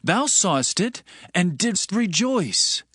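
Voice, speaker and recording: Earnest young biblical prince, loyal, pleading, measured archaic diction, higher male voice, dry close sound. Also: very animated, fairly bright tone, slightly rough, dry recording, heavily acted. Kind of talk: dramatized scripture acting.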